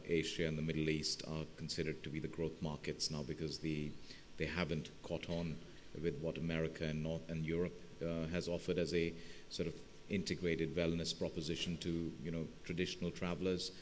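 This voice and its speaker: A man's voice: a bass voice, little pitch movement, fairly quick, hardly any pauses. A man giving an unscripted answer as a speaker on a conference panel. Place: conference session in a large room. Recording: hall reverb with faint hum.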